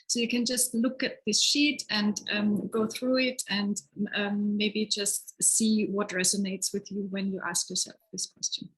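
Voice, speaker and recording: A woman facilitating an online workshop over a video call, giving gentle instructions with many hesitations. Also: medium pitch, little pitch movement, a little slow, few pauses, breathy edge, poor audio. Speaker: session facilitator. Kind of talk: guiding a workshop exercise.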